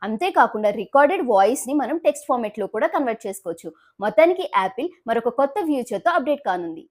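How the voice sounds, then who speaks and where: Brisk, high-pitched woman presenter. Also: very animated, a little mellow. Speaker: news presenter. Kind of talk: scripted news narration.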